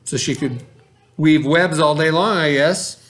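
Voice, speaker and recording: A male teacher with a moderately high voice reads and explains for children. His delivery is informal and direct, in the manner of classroom storytelling and review. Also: a little slow, fairly bright tone. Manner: informal, questioning, talks directly to pupils. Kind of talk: children's read-aloud and lesson.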